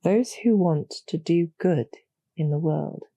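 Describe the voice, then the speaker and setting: Calm, knowing succubus demoness played by a woman for audio roleplay: dark, mellow, low and gravelly, intimate, measured persuasion, very close dry sound. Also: no room sound, heavily acted. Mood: knowing, gentle. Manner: measured, deliberate, softly persuasive. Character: calm, knowing demoness succubus archer, former hero, loyal to her queen.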